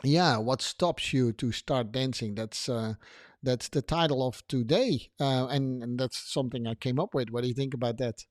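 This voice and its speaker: A man's voice: medium pitch, moderate pace, no room sound. Dutch man hosting, close dry sound. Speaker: podcast host. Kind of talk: casual podcast chat.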